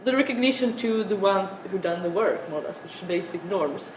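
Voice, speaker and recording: A young woman, an English speaker, giving a halting conference talk with many filler sounds. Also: mid-range voice, animated. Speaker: panel speaker. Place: large conference room. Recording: echoey conference room, noisy.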